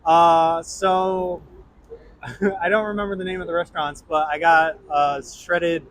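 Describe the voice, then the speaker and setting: A man with a slightly high voice talks eagerly about his travels, filling pauses with "uh" and "um." Faint street noise sits behind him. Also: fairly even intonation, low-quality recording. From New York State, USA. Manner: enthusiastic, lists things with many fillers. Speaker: tourist interviewee.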